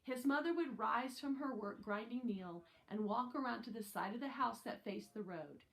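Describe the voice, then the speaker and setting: A woman's voice: fairly high pitch, moderate pace, vocal fry, poor audio. A woman narrating a children's novel aloud as a scripted reading. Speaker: audiobook reader.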